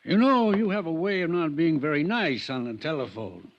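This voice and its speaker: A man's voice: fairly high pitch, lively intonation, normal speed, lightly acted. A man playing an irritable, evasive veterinarian, testy and guarded, in a 1950s radio drama. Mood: indignant. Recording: dull, faintly noisy old radio recording.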